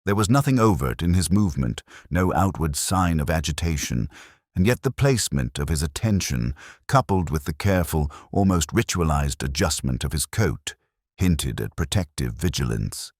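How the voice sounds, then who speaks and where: Male actor, cerebral detective, extremely low voice, contemplative scripted mystery narration, measured literary delivery, dry close sound. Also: animated, a little mellow, dry recording, heavily acted. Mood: quietly watchful.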